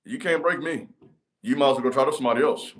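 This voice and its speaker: A man's voice: fast talker, a bit bright. A man, giving a motivational talk to camera in an emphatic, repetitive cadence. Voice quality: deep voice. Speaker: channel host.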